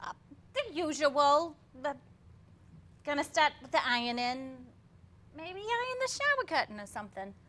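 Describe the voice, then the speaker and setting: An actress playing a weary, evasive young housewife in a theatrical comedy reading, hesitant and flustered. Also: female voice, high-pitched, very animated, moderate pace, long pauses, sharp tone, full character voice. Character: weary, evasive young 1960s housewife, sweet but frazzled.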